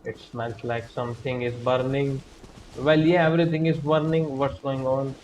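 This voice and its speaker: Casual male game streamer, mid-pitched voice. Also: fairly even intonation, moderate pace, a little mellow, slight background noise, poor audio. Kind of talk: casual live gaming commentary. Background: game music.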